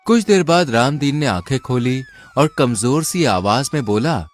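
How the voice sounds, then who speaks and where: A man's voice: mid-range voice, expressive, a little slow. A man narrating a scripted folk tale as a storyteller.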